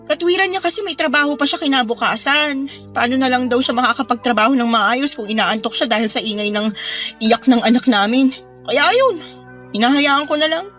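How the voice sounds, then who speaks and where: Woman acting as exhausted new mother, young, high voice, weary and emotional, audio drama, soft music behind. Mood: weary, resigned. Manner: weary, emotional, halting delivery with sighs. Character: exhausted, frustrated young new mother pouring out her troubles.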